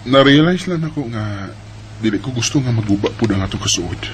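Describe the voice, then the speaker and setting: A man's voice: on the low side, animated, brisk, a little hiss or hum, close to an everyday voice. A man acting an earnest young suitor and friend in a radio drama, with a Filipino Bisaya accent. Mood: apologetic, earnest. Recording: radio drama with prominent music bed.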